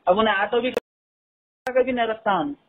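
A man's voice: high-pitched, a little fast. A man with a Hyderabadi accent explaining and reasoning in an informal phone conversation. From Hyderabad, India. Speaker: caller. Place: telephone call, played in a news upload. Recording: tinny phone call recording.

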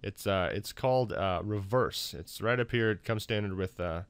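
Low-voiced man, casual tutorial host. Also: normal speed, clean tone, no echo. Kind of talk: casual software tutorial narration.

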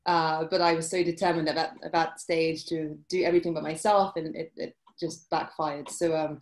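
A woman in her forties with a British accent, answering interview questions over a video call, halting with many restarts. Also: low voice, little pitch movement. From UK and France. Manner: hesitant, many restarts and fillers. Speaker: guest, journalist. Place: indoor room, video call. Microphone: laptop webcam mic.

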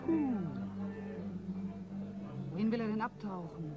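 A woman's voice: mid-range voice, sing-song, slow pace. An actress playing a brash, slangy young woman from a small criminal gang in a radio crime drama. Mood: anxious, nosy. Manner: slangy, mouthy, nagging. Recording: loud music under the voice.

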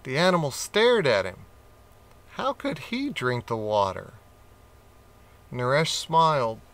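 A man reading a children's storybook aloud with gentle, expressive narration. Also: mid-range voice, slow. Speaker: audiobook reader.

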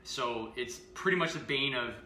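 A man's voice: medium pitch, lively intonation, normal speed, bright, forceful tone, a little hiss or hum. A man in his thirties casually demonstrating meal prep in his home kitchen, chatty and upbeat with lots of fillers, picked up by a camera a few feet away. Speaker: fitness vlogger. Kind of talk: casual live-stream demo. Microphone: camera mic from a few feet away. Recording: roomy kitchen echo.

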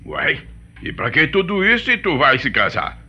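An actor playing a grumbling, homespun rubber-tapper father in a radio soap, with a husky voice and Brazilian accent. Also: on the high side, sing-song, normal speed, sharp tone, highs cut off, exaggerated voice. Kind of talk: radio soap opera acting.

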